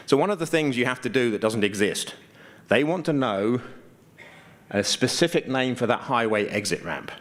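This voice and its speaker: A man giving an informal conference presentation, explaining things conversationally with dry, understated humor. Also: on the low side. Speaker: conference speaker, GIS mapping consultant.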